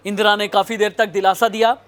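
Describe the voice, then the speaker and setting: A man's voice: high voice, flat delivery, quick pace, a bit bright. A man presenting history as a news host, narrating it as a dramatic story in a suspenseful, emphatic manner.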